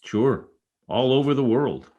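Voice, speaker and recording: A man's voice: mid-range voice, animated, unhurried, muffled recording, noisy background, close to an everyday voice. A man playing a wry, easygoing newspaper reporter in a radio comedy, with a joking delivery. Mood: mock solemn. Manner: wry, joking, hesitant asides. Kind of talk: old-time radio comedy acting. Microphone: video call.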